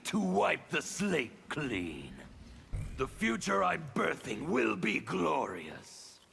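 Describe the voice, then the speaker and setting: Scarred revolutionary villain, forceful, gravelly, grandiose, defiant, theatrical game acting, faint noise behind. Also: male voice, on the high side, huge pitch swings, slow, heavily acted. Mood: fervent defiance. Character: scarred, fanatical male revolutionary agent of chaos.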